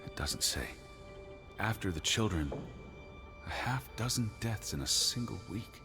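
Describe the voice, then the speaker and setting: An actor playing an anxious, bookish 1920s gentleman searching for his missing sister in a video game drama, speaking earnestly in precise, formal phrases. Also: on the low side, animated, measured pace, music in the background. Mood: grave, brooding.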